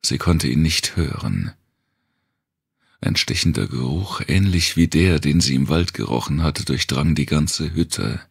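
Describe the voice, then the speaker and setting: A man narrates a dark thriller audiobook with an extremely deep voice. It is gravelly and husky, and the delivery is deliberate and built for suspense. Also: expressive, no room sound, clean studio sound.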